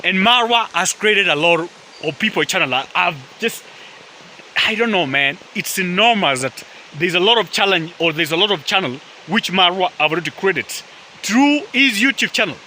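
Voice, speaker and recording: A man's voice: high voice, lively intonation, moderate pace, pushed and bright, slight background noise. A man whose first language is Swahili, rambling in casual talk to camera as a vlogger, full of fillers and calls to his viewers, outdoors by a forest river. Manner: rambling, filler-heavy, keeps addressing viewers as guys. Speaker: YouTube vlogger.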